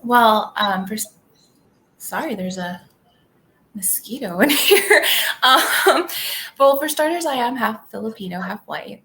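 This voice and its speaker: Young cali woman, tagalog was her first language, talks in loops w/ tons of likes and ums, average pitch. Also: vocal fry, bright, forceful tone. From California, United States. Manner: rambling, heavy on "like" and "um". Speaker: podcast guest. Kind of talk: casual interview chat.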